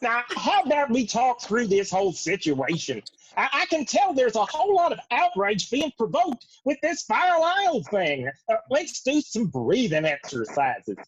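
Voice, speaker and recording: A woman's voice: a little high, very animated, normal speed, breathy edge, dry recording. A woman playing a flustered, placating magpie psychiatrist with a hesitant manner in a comedy table read. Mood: nervously placating. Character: nervous magpie psychiatrist and radio host, placating. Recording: dull lo-fi audio.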